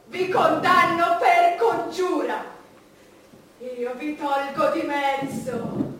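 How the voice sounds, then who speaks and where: Imperious queen, high-voiced, echoing stage. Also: a little hiss or hum, exaggerated voice. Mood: furious, condemning. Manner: declamatory, dramatic pauses, swinging from hushed to thundering. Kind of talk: theatrical stage monologue in Italian drama. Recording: very echoey stage, distant mic, music behind.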